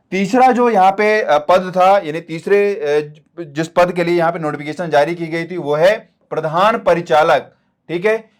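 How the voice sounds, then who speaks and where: Higher-pitched male exam coach. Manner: repeats and rephrases key points, frequent check-in tags. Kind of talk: explanatory lecture to students.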